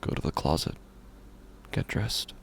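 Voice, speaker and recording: A man playing a grieving, sleepless man in a horror audio drama, brooding and halting, in a gravelly, breathy near-whisper. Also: a little hiss or hum, lightly acted. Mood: weary, flat. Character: grieving, hollow, sleepless man wandering at night. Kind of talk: scripted first-person horror audio fiction narration.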